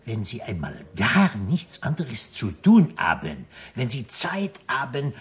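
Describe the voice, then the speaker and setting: An actor in a German radio play playing a slyly courteous, mysterious host who speaks deliberately with dramatic pauses. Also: male voice, medium pitch, huge pitch swings. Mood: slyly solemn. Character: enigmatic, ceremonious male host, slyly courteous.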